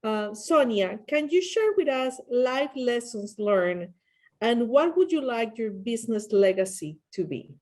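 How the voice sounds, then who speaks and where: A woman's voice: on the high side, lively intonation, unhurried, darkish tone. A woman moderating a business panel, thanking a speaker and posing the next question in an upbeat, projecting way.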